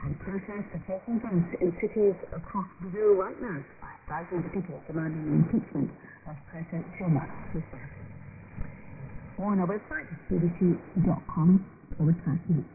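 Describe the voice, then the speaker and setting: A woman's voice: lowish voice, moderate pace. Lady news presenter reading the script, thin noisy shortwave sound. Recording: noisy narrow-band shortwave radio broadcast.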